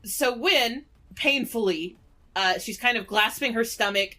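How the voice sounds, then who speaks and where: A woman's voice: on the high side, a little slow, sharp tone. A woman playing a tabletop roleplaying game, narrating her character casually with a brash, jokey, sweary manner.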